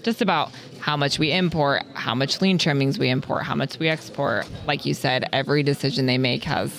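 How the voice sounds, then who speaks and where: A woman's voice: extremely low pitch, fairly quick, creaky. A woman hosting a podcast, chatting casually and conversationally with her guests. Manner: friendly, conversational interviewer. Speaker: podcast host. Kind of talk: casual interview.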